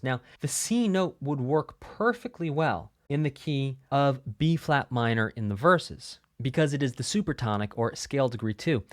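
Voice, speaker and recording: A man's voice: mid-range voice, no echo, studio quality. A man giving an explanatory music theory lesson as a video host, talking in a conversational, emphatic teaching manner.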